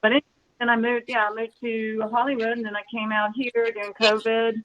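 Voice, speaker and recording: A woman's voice: fairly high pitch, flat delivery, fairly quick, lo-fi sound. A woman drummer from Texas with a slight Southern accent, talking in an enthusiastic, rambling way. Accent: slight Southern American. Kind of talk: casual interview.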